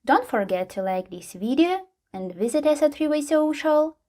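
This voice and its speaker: A woman hosting a tutorial, reading scripted step-by-step how-to narration at a medium pitch. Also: lively intonation, moderate pace, darkish tone. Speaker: tutorial host.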